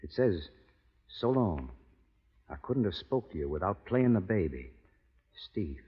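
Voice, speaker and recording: Husky, loyal western cowhand. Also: male voice, sits low, sing-song, measured pace, heavily acted. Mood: awkward sympathy. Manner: folksy, hesitant cowboy talk. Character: loyal, plain-spoken cowhand. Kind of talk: 1940s radio western acting. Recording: muffled vintage radio broadcast, reverberant hall.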